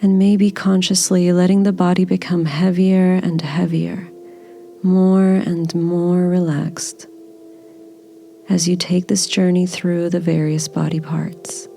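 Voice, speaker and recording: A woman leading a guided body scan meditation in a gentle, soothing, unhurried manner. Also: fairly low pitch, flat delivery, gravelly, slight background noise.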